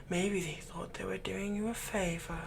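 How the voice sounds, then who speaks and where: A man playing a possessive young fantasy villain in an ASMR roleplay, tenderly soothing a captive in a soft voice that often turns breathy. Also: high-pitched, a little hiss or hum, pitched above the performer's own voice, full character voice. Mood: tender, consoling. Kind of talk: ASMR roleplay, intimate scripted monologue.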